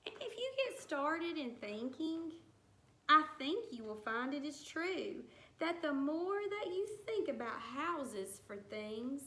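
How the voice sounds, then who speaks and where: A woman teacher reading a rhyming picture book aloud to young children, with deliberate, singsong storybook phrasing. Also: sits high, big pitch swings, fairly bright tone. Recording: roomy echo with some background noise.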